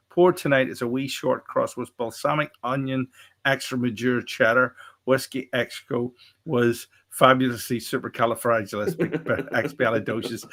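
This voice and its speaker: A man's voice: medium pitch, a bit bright. Rambling Northern Irish man co-host. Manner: rambling, repeats words, jokey. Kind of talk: casual chat reading viewer comments.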